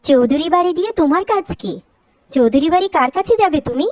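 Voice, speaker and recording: A woman with a high voice that leaps up and down as she voices a dubbed cartoon. Her delivery is scolding and quarrelsome. The recording is close and dry, with no room sound. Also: expressive, quick pace, darkish tone, no room sound. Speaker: voice actor for several female cartoon characters.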